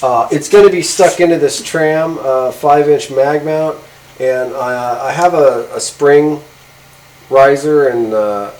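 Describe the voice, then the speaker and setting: A middle-aged man with an average-pitched voice hosts a hands-on gear demonstration. He talks informally, rambling and hesitating as he goes. He is recorded in a workshop from a few feet away, with slight noise behind him. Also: slow pace, a little mellow, low-quality recording. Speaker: video host. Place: indoor garage workshop at a workbench.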